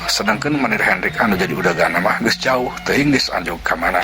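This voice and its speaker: A man narrating a folk tale in a lively way, full of sound words and whispered asides. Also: sits low, fairly quick. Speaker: storyteller. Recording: music bed under narration. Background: background music.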